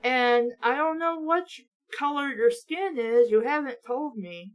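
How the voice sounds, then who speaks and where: A woman's voice: sits high, expressive, a little slow. A woman doing sarcastic read-along commentary on a book, with a blunt, mocking, sweary way of talking. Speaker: book reviewer reading aloud with commentary.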